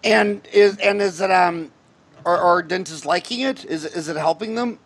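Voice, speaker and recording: A man's voice: high-pitched, normal speed, a bit bright, breathy edge. A middle-aged American man hosting a casual interview in a folksy, rambling way, often restarting his questions. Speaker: podcast host, dentist.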